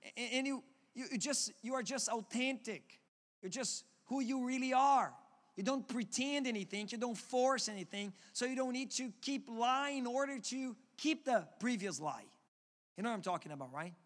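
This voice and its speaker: High-voiced Portuguese-accented preacher. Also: male voice, animated, normal speed. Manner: earnest, repeats and restarts words. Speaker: pastor. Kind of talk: sermon. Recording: long hall reverb.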